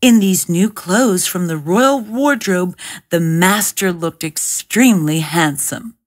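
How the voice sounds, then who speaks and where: A woman's voice: on the low side, slow. A woman narrating a scripted fairy tale as an audiobook reader.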